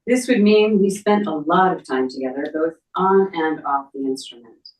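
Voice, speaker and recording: Middle-aged lady reading a tribute at a memorial, kinda low, echoey and far from the mic. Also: normal speed, darkish tone. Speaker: memorial speaker, relative of the family. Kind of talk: reading a written tribute aloud. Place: echoey indoor memorial gathering. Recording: echoey room, distant microphone.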